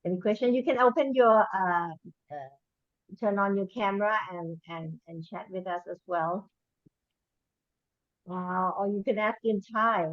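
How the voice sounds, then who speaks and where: A woman hosting a webinar, asking questions and inviting the audience in a halting, repetitive way. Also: medium pitch, dry recording. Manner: halting, repeats words. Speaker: webinar host. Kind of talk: webinar moderation. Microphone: video call. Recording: muffled video call audio.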